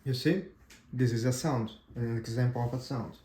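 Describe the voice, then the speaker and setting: A man whose first language is Portuguese gives a casual tutorial demo, pausing often with "uh" and restarting his sentences. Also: mid-range voice, reverberant, background noise. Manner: halting, frequent "uh" and restarts, checks in with "right?". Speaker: amateur radio instructor.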